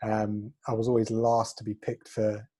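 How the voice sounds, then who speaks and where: A man's voice: on the low side, monotone, moderate pace, warm tone, slight background noise. London man, modest interview guest. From North London, England. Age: 30s or 40s. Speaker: interview guest, fitness coach. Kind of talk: casual interview answers.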